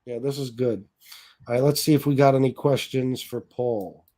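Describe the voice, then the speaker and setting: A man in his forties hosting a casual interview chat, relaxed and agreeable, reading out viewer questions. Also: mid-range voice, normal speed. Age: 40s. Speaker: host.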